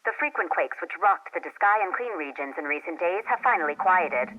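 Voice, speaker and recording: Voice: mid-range voice, animated, a little fast, phone-quality sound, natural voice. An actor playing a formal, impersonal radio news reader delivering a scripted bulletin in a video game. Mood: neutral, official.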